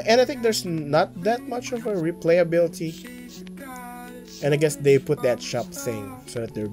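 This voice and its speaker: A young man with a Filipino accent, chatting casually as a gaming streamer, rambling with many restarts and stammers. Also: mid-range voice. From Philippines. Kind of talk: casual let's-play commentary. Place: small room at home, green screen. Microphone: headset.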